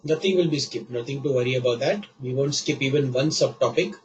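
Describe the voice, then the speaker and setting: A man teaching a live online class while he has a cold, patient and repeating each step slowly. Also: medium pitch, highs cut off, slight background noise. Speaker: online course instructor.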